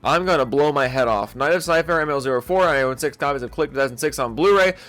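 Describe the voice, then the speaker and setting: A man's voice: mid-range voice, quick pace, fairly bright tone, soft background music. A man who makes videos, talking in a blunt, sweary, sarcastic way. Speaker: video creator. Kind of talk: opinionated rant and reading out supporter names.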